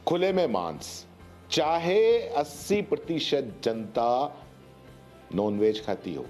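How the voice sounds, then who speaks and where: A man's voice: mid-range voice, sing-song, slow, a little mellow, faint music behind. A man in his forties from India giving opinionated news commentary in an emphatic manner, often posing rhetorical questions. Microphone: lapel mic.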